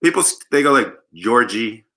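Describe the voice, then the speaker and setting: A man's voice: mid-range voice, expressive, normal speed, pushed and bright. A man from Los Angeles, a guest musician, chatting casually on a livestream. He jokes playfully and tells long rambling stories. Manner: joking, playful, rambling storyteller. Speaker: guest bassist. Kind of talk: casual interview chat.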